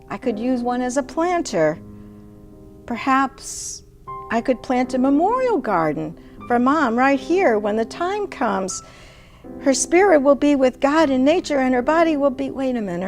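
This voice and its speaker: An older woman speaks with deliberate care at a very slow pace. Her voice sits a little high. Plucked guitar music plays clearly behind her. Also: a bit bright. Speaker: poet and show host. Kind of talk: dramatic poetry reading and casual conversation.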